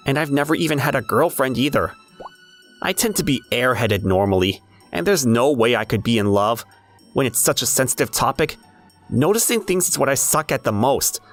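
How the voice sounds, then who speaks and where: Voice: mid-range voice, lightly acted. A performer playing an airheaded, easygoing teenage schoolboy, narrating in a self-deprecating anime dub style. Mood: matter-of-fact.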